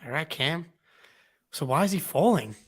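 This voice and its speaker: A man's voice: a little high, animated, normal speed, breathy edge. A young man co-hosting a live fan reaction stream, chatting casually and agreeing often with fillers. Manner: easygoing, agrees a lot, fillers like "yeah, dude" and "um". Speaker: fan channel co-host.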